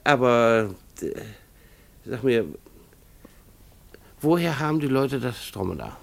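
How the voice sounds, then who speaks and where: An actor playing a good-natured, exasperated schoolteacher husband, halting and patiently reassuring, in a radio play. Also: male voice, mid-range voice, animated, creaky. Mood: relieved. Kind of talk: German radio play acting.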